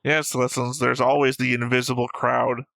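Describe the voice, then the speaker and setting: A man's voice: medium pitch, flat delivery, moderate pace, creaky, fairly bright tone, no room sound. A man co-hosting an anime reaction show, chatting casually and unscripted in a dry, teasing way. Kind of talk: casual unscripted banter and commentary.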